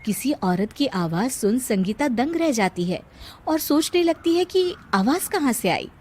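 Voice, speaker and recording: Woman storyteller, highish voice, reading out a funny family tale. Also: sing-song, unhurried, a little mellow, husky. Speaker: narrator. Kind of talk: scripted comic storytelling.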